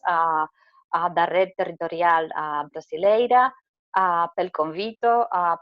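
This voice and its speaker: A woman presents on a video call, speaking slowly and deliberately with lots of filler sounds between phrases. Her voice sits a little low and close, with dry, dull lo-fi call audio. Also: no room sound. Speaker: guest speaker, network secretary general. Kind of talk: formal presentation at a video meeting.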